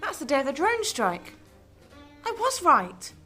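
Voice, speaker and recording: A woman's voice: high-pitched, wildly swooping pitch, a little slow, bright, forceful tone, full character voice. A woman acting in a radio drama as a wary but eager journalist, full of questions. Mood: wary, intrigued. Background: music.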